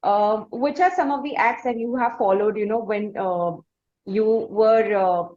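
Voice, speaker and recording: A woman's voice: mid-range voice, darkish tone. Dull-sounding recording of an Indian woman interviewer. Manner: halting, roundabout phrasing. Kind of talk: conversational interview questioning.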